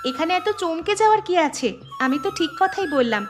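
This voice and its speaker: Young city daughter-in-law, high voice, emphatic, dramatic cartoon delivery, music behind. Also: female voice, expressive, a little fast. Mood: insistent, affectionate. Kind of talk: Bengali cartoon voice acting.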